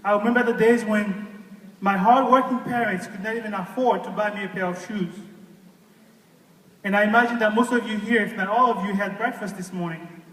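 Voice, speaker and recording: A man's voice: sits high, steady tone, fairly quick, fairly bright tone, recorded from a distance. A man from Haiti, giving an earnest personal testimony speech to an audience and asking them questions. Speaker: guest speaker. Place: large hall with an audience. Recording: echoey hall, voice from afar.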